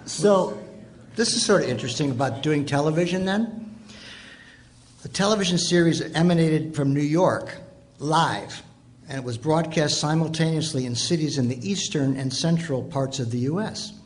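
A man's voice: a little high, normal speed. An older American man from Detroit, casually explaining and reminiscing as a panelist. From Detroit, United States. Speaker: panelist, author. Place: stage panel in a theater. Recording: live stage microphone with background noise.

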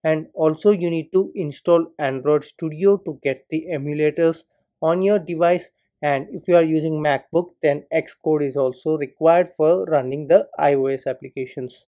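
A man's voice: a little high, normal speed, dull audio. Man explaining a coding setup. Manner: steady step-by-step explaining, repeats "particular". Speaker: coding tutor. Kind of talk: screen-recorded coding tutorial.

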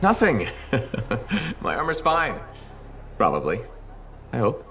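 An actor playing a wry, easygoing armour pilot hero in a video game. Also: male voice, mid-range voice, huge pitch swings, slow, fairly bright tone, faint music behind, heavily acted. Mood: wry, sheepish.

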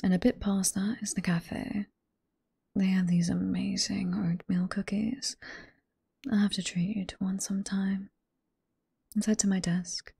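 A woman with a British accent playing a flirty, teasing, sweet head librarian boss in a whispered ASMR roleplay, soft and coy, close to the microphone. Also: medium pitch, gravelly, husky, no echo, heavily acted. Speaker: voice performer in roleplay.

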